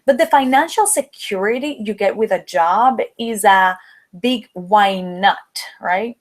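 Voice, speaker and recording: A woman's voice: mid-range voice, measured pace. A young woman from the Dominican Republic with a Dominican Spanish accent, leading a motivational webinar in an upbeat, chatty way. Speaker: webinar host, planner business owner. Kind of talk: live webinar presentation.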